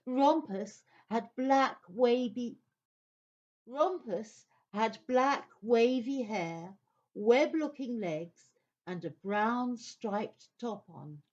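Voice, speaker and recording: A woman's voice: a little high, animated, very slow, a little mellow, dull audio. A woman narrating a scripted children's story as part of an audio play.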